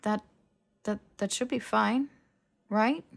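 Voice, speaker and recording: A woman's voice: mid-range voice, slow, creaky. Woman voicing a stammering, defensive young wizard bloke. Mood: earnest, persuading. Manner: stammering, protesting. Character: young man, blurting, defensive, casually flippant wizard. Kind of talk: fiction audiobook character voice.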